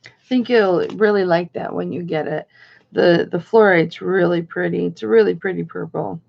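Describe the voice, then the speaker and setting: Slightly hoarse gravelly lady doing a chatty live auction, slow and kinda high, muffled stream sound. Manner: chatty, greets viewers by name.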